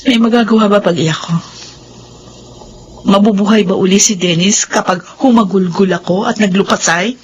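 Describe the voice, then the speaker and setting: An actress in a radio drama playing a young widow who stays composed and firmly deflects accusations. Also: female voice, medium pitch, moderate pace, lo-fi sound, close to an everyday voice. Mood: defensive. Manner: firm, composed, brushing off accusations. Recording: radio drama with faint background noise.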